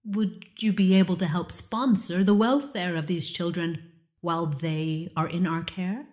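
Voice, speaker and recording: An actress playing an earnest healer who cares for war orphans. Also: female voice, on the low side, fairly even intonation, unhurried, a little mellow, lightly acted. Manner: earnest, a little breathless.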